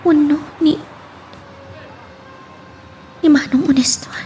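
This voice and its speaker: A woman's voice: sits high, fairly even intonation, slow, mellow, soft background music. A woman playing a troubled, dutiful young daughter in a radio drama. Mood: pensive.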